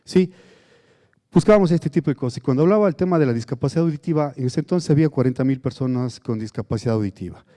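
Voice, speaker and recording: A man from southern Ecuador with a Cuenca accent, giving a conference lecture on biomedical engineering, halting, with many fillers and restarts. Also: mid-range voice, warm tone. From Azuay, southern Ecuador.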